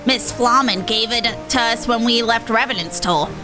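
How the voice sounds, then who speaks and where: A woman's voice: fairly high pitch, moderate pace, over music, close to an everyday voice. A woman voicing a sweet young child in a video game, earnest and full of wonder.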